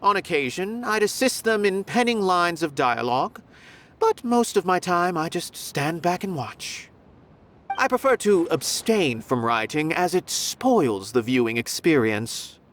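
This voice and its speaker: A woman's voice: fairly low pitch, expressive, a little hiss or hum. A woman voicing an aloof, condescending theater philosopher in a video game, pompous and musing. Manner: pompous, musing, deliberate phrasing. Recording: faint music behind the voice.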